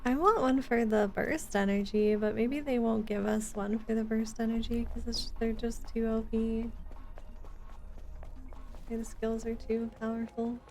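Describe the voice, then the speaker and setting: A woman's voice: on the high side, flat delivery, measured pace. A woman streaming a video game, chatting casually and addressing her viewers as she plays. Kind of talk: casual livestream gameplay chat. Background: video game music.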